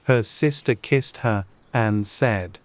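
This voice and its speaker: A man's voice: fairly low pitch, takes it slowly, no echo. A man narrating a classic storybook as a scripted audiobook.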